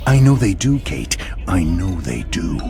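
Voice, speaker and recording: A man's voice: sits low, sing-song, unhurried, creaky, mellow, faint music behind, exaggerated voice. A man playing a smug, pompous propaganda news anchor in a video game's parody newscast. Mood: smug, conspiratorial.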